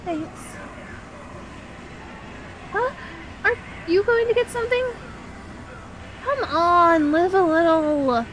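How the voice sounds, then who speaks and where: Woman acting a high-voiced, sweet, bashful young woman. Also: takes it slowly, long pauses, fairly bright tone, slight background noise, heavily acted, rough recording. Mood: excited, cute. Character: sweet, bashful young woman confiding in her best friend. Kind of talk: ASMR roleplay audio drama.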